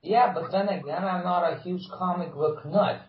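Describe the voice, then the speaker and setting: A man's voice: high voice, normal speed. A man joking and teasing his friends in a casual podcast chat. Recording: muffled lo-fi sound.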